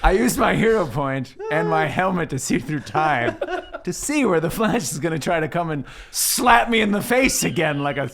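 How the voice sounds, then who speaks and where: A man's voice: on the high side, very animated, moderate pace, creaky, a bit bright. Casting: male tabletop player, wry and joking, casual banter with widely swinging intonation. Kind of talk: casual banter, playing along.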